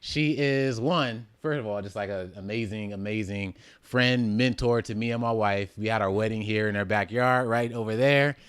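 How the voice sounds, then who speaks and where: A man in his thirties hosting a podcast, upbeat and welcoming, recorded on a boom mic in a living room. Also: normal speed, hardly any pauses, clear, resonant tone, dry recording. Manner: upbeat, affirming interjections. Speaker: podcast host. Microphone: mic on boom arm.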